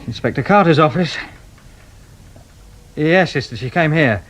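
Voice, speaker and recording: An actor playing a matter-of-fact, sardonic British police sergeant in a radio drama. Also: male voice, on the high side, lively intonation, measured pace, muffled recording.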